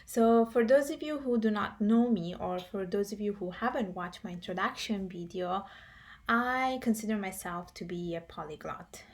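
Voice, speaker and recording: A woman's voice: medium pitch, normal speed. A young woman who grew up in Italy, talking casually to camera as a vlogger, with many fillers and restarts.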